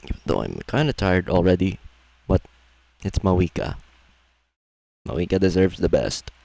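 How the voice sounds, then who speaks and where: A man's voice: low-pitched, big pitch swings, normal speed, vocal fry, a little mellow, no room sound. A man giving casual live commentary on a video game, sometimes reading dialogue aloud. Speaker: game streamer. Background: game music.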